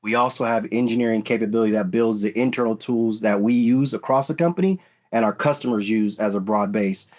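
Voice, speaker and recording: Low-voiced man giving career advice. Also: brisk, darkish tone. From United States. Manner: frequent fillers and restarts, earnest advice-giving. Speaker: panelist at a recruiting event. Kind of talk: virtual panel talk.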